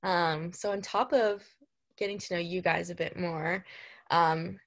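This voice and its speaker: A woman's voice: lowish voice, steady tone, measured pace, fairly bright tone. A woman hosting a podcast, interviewing guests in a casual, chatty style. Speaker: podcast host.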